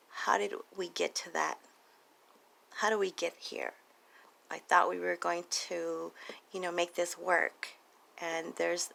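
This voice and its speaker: A woman giving a casual, improvised tarot reading in a conversational way, with some gravelly fry in her voice. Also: on the low side, a bit bright. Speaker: tarot reader, channel host. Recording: audible background noise.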